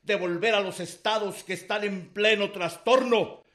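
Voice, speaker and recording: An actor playing an indignant congressional deputy, giving a formal, emphatic political speech in a radio drama. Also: male voice, sits high, steady tone, moderate pace, a bit bright. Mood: indignant, solemn. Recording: echoey chamber sound.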